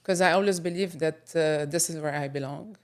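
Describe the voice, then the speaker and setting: Lebanese-born woman, low voice, talks slow and thoughtful with lots of ums, podcast guest. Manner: thoughtful, with frequent pauses and fillers. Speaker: guest photographer. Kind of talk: casual interview conversation.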